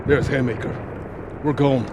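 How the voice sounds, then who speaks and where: An actor playing a brisk Marine squad leader in a war video game, giving clipped commands. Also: male voice, mid-range voice, steady tone, natural voice. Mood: businesslike. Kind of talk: video game combat acting. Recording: noisy battlefield ambience.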